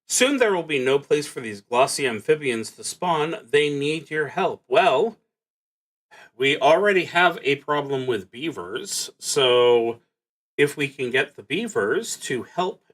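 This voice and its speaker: A man casually narrating his solo tabletop roleplaying game, haltingly thinking aloud and muttering to himself as he goes. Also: a little high, animated, a bit bright.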